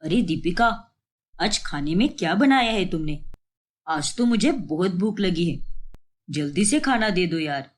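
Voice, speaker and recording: A woman's voice: on the low side, animated, unhurried, voice pushed down. A woman voicing a mild young married son in a family story, placating in manner. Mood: hungry, pleased. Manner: pleading, placating.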